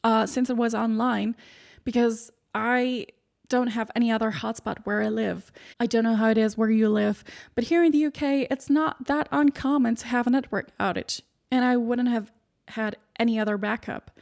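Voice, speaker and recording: Soothing, slightly gravelly woman podcaster. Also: fairly high pitch, fairly even intonation, normal speed. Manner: warm, encouraging, lists points by number. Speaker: podcast host and speaking coach. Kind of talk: solo podcast advice monologue. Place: home studio in the UK.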